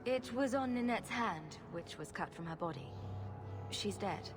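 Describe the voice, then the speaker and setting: A direct, wry female adventurer voiced by an actress in a fantasy game. Her mid-pitched voice carries a long reverb, with clear music behind. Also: expressive, unhurried, lightly acted. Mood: blunt. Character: frank, composed female adventurer, wryly blunt. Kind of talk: cinematic fantasy game acting.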